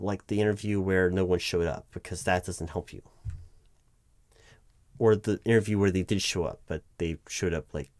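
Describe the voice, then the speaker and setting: A low-pitched, dry-sounding recruiting manager, acted out by a man, flustered and exasperated. He halts, stammers and repeats himself as he objects, in a spoken-aloud office roleplay. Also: darkish tone, no room sound, lightly acted. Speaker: roleplay performer. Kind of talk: improvised one-sided roleplay, loud spoken ASMR.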